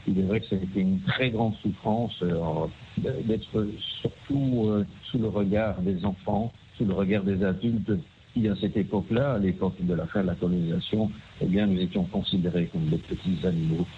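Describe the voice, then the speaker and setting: Older guy on a crackly phone line, low voice, lots of uh and restarts. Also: fairly even intonation, moderate pace. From Belgium. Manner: hesitant, many fillers and repeated words. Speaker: interviewee. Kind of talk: phone interview. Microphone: telephone. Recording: tinny phone line with faint noise.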